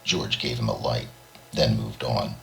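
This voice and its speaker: A man's voice: extremely low pitch, measured pace, gravelly, fairly bright tone. A man reading a short story aloud as an audiobook narrator, in a dramatic storytelling style. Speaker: audiobook reader.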